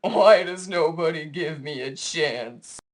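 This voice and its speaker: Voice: medium pitch, slow pace. Actor as a chatty, mild cartoon underworld keeper. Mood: forlorn. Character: friendly, lonely, casual cartoon keeper of the underworld.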